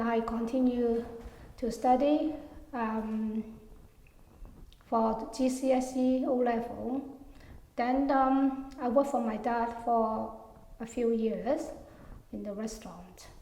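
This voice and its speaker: A woman's voice: a little high, steady tone, slow, mellow. A middle-aged woman from Hong Kong, recalling her life in an oral history interview, hesitant with many fillers and endings that trail off. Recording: echoey room with long reverb.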